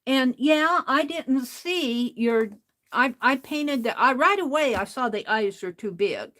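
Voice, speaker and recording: A woman hosting an art livestream, talking casually to chat in a loud voice, rambling and restarting her sentences. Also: sits high, expressive, measured pace.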